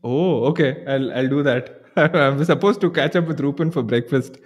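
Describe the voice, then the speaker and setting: A man's voice: mid-range voice, normal speed. A man with an Indian accent hosting a casual podcast conversation, chatty and given to linked asides. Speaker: podcast host.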